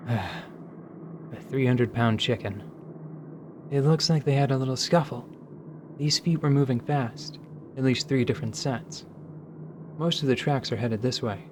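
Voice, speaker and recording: A man's voice: medium pitch, measured pace, pauses often, close to an everyday voice. A man voicing a casual, grumbling male scout with a dry manner in a radio theatre drama. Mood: reluctant, puzzled. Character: laid-back, wry male tracker scout. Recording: faint background noise.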